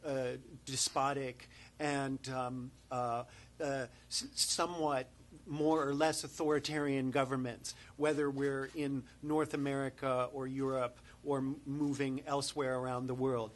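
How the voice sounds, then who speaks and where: A man answering reporters at a press conference, emphatic and halting with frequent fillers. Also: mid-range voice, level tone. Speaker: human rights advocate at press conference. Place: press briefing room.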